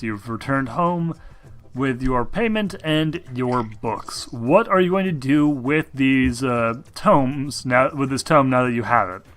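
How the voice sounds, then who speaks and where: Bright-voiced man running a tabletop game. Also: mid-range voice. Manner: informal, halting narration. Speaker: game master. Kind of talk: narrating a tabletop role-playing game. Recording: music behind the voice.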